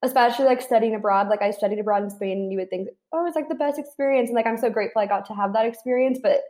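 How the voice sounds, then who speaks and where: A woman's voice: fairly high pitch, rapid-fire, darkish tone. Candid young woman, chatty. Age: 20s. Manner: candid, many "like" fillers. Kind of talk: casual chat.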